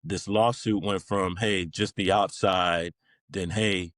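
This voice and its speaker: A man's voice: low voice, steady tone, takes it slowly, a bit bright, dry recording, clean studio sound. A man, middle-aged, talking informally as an interview guest, rambling through stories in a casual conversational style. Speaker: podcast guest, Navy veteran.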